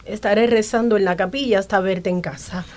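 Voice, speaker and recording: A woman's voice: medium pitch, steady tone, fairly quick, darkish tone, natural voice. An actress playing a devout, dignified older aunt and mother in a radio drama, with formal matriarchal speech.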